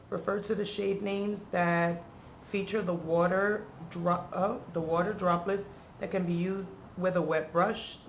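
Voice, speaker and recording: Woman vlog host, casual and rambling, playful slang, creaky delivery, faint background noise. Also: mid-range voice. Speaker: beauty vlogger and channel host. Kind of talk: casual chatty unboxing vlog.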